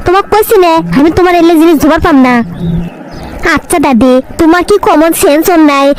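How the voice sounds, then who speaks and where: A woman's voice: very high-pitched, brisk, a little hiss or hum. A woman voicing a sassy, vain, whiny young village girl in a comedy cartoon, arguing indignantly. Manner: indignant, argumentative back-talk.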